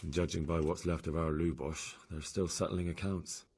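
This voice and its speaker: An actor playing a grim medieval man, gravely reporting in a gruff, husky voice in a video game. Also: sits low, flat delivery, moderate pace, a little mellow, a little hiss or hum. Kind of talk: dramatic video game dialogue.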